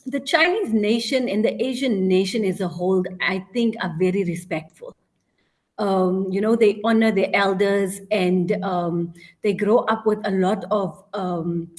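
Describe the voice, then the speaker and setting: A woman's voice: medium pitch, darkish tone. A South African woman, a teacher working abroad, speaking in a casual podcast interview, hesitant and full of ums and "you know".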